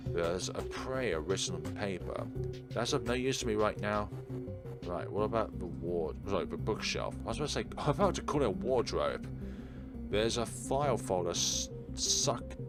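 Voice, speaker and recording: A man with a fairly low voice plays through a visual novel. He reads the narration aloud and breaks in with offhand commentary of his own. Game music is clearly present behind him. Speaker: gaming video host. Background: prominent game music.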